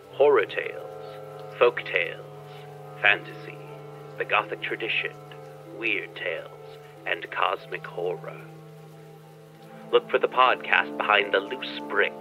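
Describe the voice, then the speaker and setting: Male announcer, scripted podcast intro, very unhurried, creaky low voice, background music. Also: rough recording. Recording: music under the voice.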